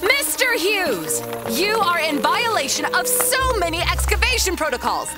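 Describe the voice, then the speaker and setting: A woman's voice: extremely high pitch, sing-song, moderate pace. An actress playing a stern, bossy woman who runs an expedition in an audio drama, clipped, formal and exasperated. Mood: angry, exasperated. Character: stern, bossy female expedition boss, stickler for protocol. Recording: loud cinematic music behind the voice.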